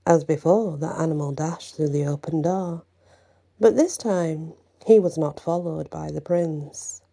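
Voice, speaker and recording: A woman telling a fairy tale in a gentle, measured storybook reading, slipping into hushed passages. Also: low voice, gravelly.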